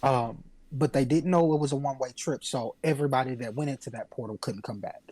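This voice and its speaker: A man's voice: medium pitch, fast talker, a little mellow, no room sound. A man on a casual panel podcast, explaining video game lore earnestly and at length.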